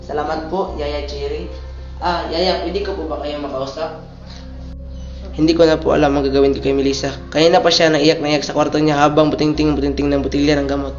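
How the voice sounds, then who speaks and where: Man acting a troubled young husband, respectful, confiding, higher voice, radio drama, echoey dull recording. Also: flat delivery, a little fast, faint music behind, natural voice. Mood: worried, polite. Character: polite, worried young husband and father. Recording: echoey room, dull lo-fi sound.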